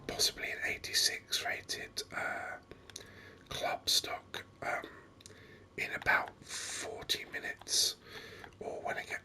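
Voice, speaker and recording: Whispering man, bright and crisp hiss. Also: slow. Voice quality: breathy, unvoiced whisper. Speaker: gaming video host. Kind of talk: whispered ASMR game commentary.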